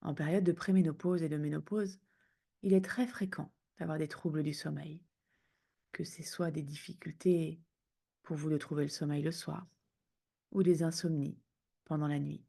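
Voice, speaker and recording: Woman, meditation guide, dark mellow timbre, hushed, soothing, unhurried phrases, frequent pauses. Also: low-pitched, fairly even intonation, creaky, husky. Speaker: yoga nidra guide.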